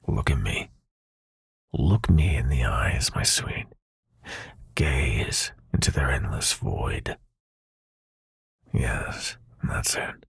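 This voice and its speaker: A man playing a seductive, menacing prince of nightmares in an intimate ASMR roleplay, speaking in a breathy, silky, commanding half-whisper. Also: rumbling low, no room sound, studio quality, heavily acted. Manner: slow, silky, commanding, taunting.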